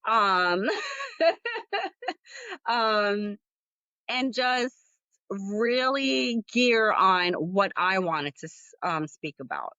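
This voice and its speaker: Slow-talking woman podcast host, dry lo-fi sound. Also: medium pitch, bright, forceful tone, dry recording. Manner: rambling, candid, frequent fillers. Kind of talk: casual solo podcast talk.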